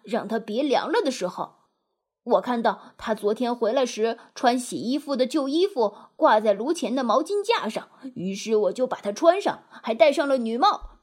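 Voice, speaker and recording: A woman voicing a gleeful, boastful male mole from a storybook in an animated, self-satisfied way. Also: on the high side, husky. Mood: proud, excited.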